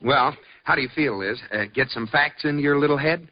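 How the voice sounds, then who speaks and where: An actor playing a teasing, indulgent husband in a radio comedy. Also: male voice, fairly high pitch, brisk, breathy edge, dull audio. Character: patient slightly condescending husband. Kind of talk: 1940s radio comedy acting. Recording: hissy old radio broadcast recording.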